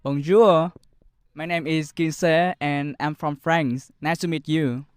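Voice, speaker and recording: A man's voice: fairly high pitch, steady tone, takes it slowly, smooth. A young man with a Vietnamese accent playing a male foreign expert guest, reciting his English carefully. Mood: polite.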